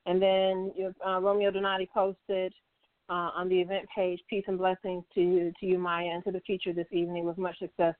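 A woman hosting an online radio interview in an informal, somewhat halting way, repeating words as she goes. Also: lowish voice, flat delivery, no echo. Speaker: host of online radio show. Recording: tinny phone-line internet radio.

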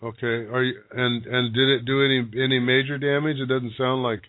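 A man working as a psychic medium who gives halting, searching readings to callers on a live call-in radio show, with many restarts. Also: medium pitch, level tone, no room sound. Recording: dry, narrow phone-like broadcast sound.

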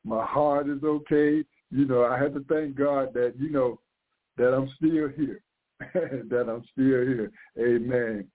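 A man's voice: medium pitch, steady tone, slow. A man in his sixties, a pastor preaching live on radio, congested from the flu, fervent and often repeating and restarting his phrases. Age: 60s. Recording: narrow phone-like radio sound.

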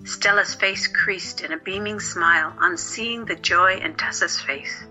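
A woman's voice: medium pitch, measured pace, pushed and bright, faint music behind, rough recording. A woman narrating a scripted story as the narrator of an audio drama.

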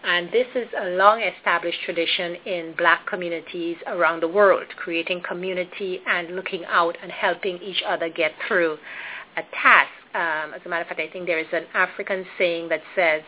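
A Trinidadian woman with a low-set voice talks through her answers slowly and carefully. She fills gaps with ums and restarts her phrases. The sound is narrow and phone-like. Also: steady tone, a little hiss or hum. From Trinidad and Tobago. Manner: thoughtful, hesitant, many ums and restarts. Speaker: guest. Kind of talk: interview conversation.

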